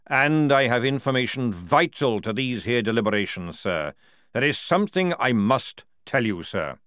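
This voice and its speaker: A man voicing a fierce, loyal old male army sergeant who barks his words in a forceful parade-ground manner. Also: mid-range voice, very animated, moderate pace, no echo, clean studio sound. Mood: stubborn, pleading.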